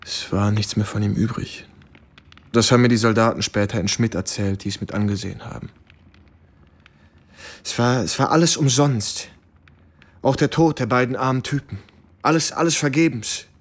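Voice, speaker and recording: Actor as husky, haunted soldier telling his war. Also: medium pitch, normal speed, pauses often, natural voice. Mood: bitter, weary. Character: shaken male American soldier recounting wartime horror. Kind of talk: German radio play acting. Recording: studio radio drama with music underneath.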